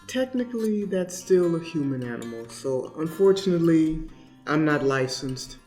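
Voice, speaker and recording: Casting: man as straight-faced taxidermy shop owner, slightly high voice, deadpan radio ad sketch over guitar music. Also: normal speed, lightly acted. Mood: matter-of-fact. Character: Jim, straight-faced, ethical small-business taxidermist. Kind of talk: comic radio commercial sketch.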